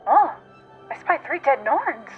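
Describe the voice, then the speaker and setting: A woman's voice: high voice, sing-song, slow, dull audio, faint music behind, exaggerated voice. A woman playing a cocky, breezy rebel war hero and sniper in an audio drama, brash and casual. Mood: cocky triumph.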